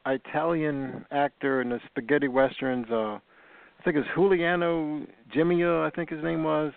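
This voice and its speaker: Gravelly, enthusiastic male talk host. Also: on the high side, fairly even intonation, moderate pace, no echo. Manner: enthusiastic, effusive. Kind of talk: casual radio interview. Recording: tinny phone line, dry.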